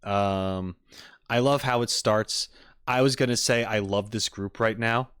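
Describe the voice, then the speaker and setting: A man giving casual livestream reaction commentary to game trailers, rambling and halting as he rephrases his thoughts. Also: lowish voice, fairly even intonation, a bit bright, clear, resonant tone, no echo, studio quality.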